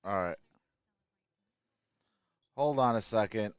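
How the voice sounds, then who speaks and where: A man's voice: on the low side, takes it slowly, smooth, dry recording, clean studio sound. An American man hosting a sports livestream, chatting casually and informally to his viewers.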